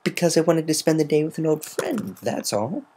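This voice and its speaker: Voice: mid-range voice, very animated, moderate pace, warm tone, breathy edge, voice pushed up. A reader performing a brash, proud cartoon pegasus mare, defensive and hurting underneath, in a dramatic fan fiction reading.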